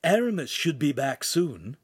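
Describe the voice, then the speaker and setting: A man's voice: on the high side, big pitch swings, a little slow, breathy edge, no room sound, clean studio sound, heavily acted. A man voicing a nervous, quirky shape-shifting imp who explains things earnestly in a storybook reading.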